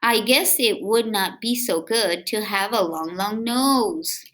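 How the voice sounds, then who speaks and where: A woman doing an expressive picture-book read-aloud for children, drawing out words and adding chatty questions to her young listeners. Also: medium pitch, takes it slowly.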